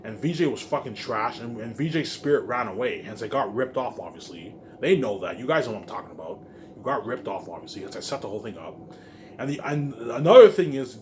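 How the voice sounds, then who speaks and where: A man rambling casually to viewers in an emphatic, repetitive, profane way, leaning on the same verbal tags. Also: mid-range voice. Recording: faint laundry-machine background noise.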